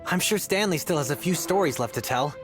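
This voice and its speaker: Higher-pitched, earnest young adventurer played by a man. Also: expressive, a little fast, music in the background, natural voice. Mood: fond, eager. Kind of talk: fantasy game voice acting.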